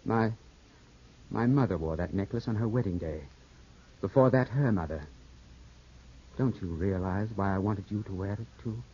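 A man playing a jealous, hot-headed young gentleman in a 1950s radio drama, formal, clipped and intense, sometimes narrating in the first person. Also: fairly low pitch, moderate pace, pauses often, slightly rough. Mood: indignant. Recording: dull 1950s radio broadcast, music behind.

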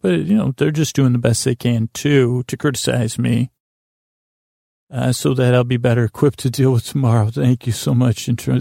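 A man with a creaky voice hosting a bedtime podcast, meandering hesitantly in a soothing, reassuring way and often trailing off mid-sentence. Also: warm tone, no room sound, clean studio sound. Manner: soothing, low-pressure reassurance. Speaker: host of a bedtime podcast for sleep. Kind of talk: rambling, meandering bedtime monologue.

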